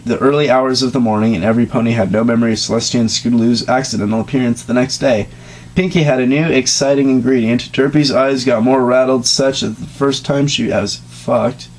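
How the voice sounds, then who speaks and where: A young man reading a fan story aloud in a casual, deadpan way, dropping sarcastic asides and coughing now and then. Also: medium pitch, poor audio.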